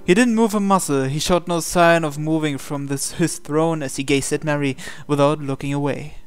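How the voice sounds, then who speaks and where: Higher-voiced man reading dramatic narration. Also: normal speed, smooth, soft background music. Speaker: visual novel reader.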